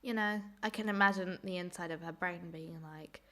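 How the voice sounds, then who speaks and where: Bright-voiced young-sounding woman, hoarse from a cold. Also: on the low side, normal speed. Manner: rambling, self-deprecating. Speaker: host reacting to cartoon clips. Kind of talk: casual reaction commentary.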